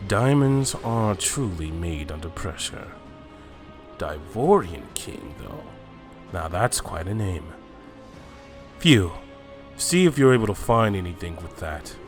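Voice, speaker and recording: A man playing a calm, amused, scheming fighter in an anime fan drama, smug, deliberate and musing. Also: low voice, soft background music.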